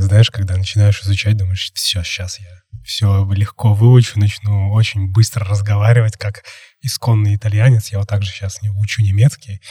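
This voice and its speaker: A man's voice: lowish voice, warm tone, breathy edge, no echo, studio quality. A young man hosting a casual podcast, talking in a rambling way full of fillers and hedges. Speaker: podcast host.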